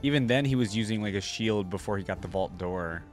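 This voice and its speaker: A man's voice: lowish voice, a little fast, over music. A man hosting a reaction video with informal, easily impressed commentary, reading things out and reacting as he goes.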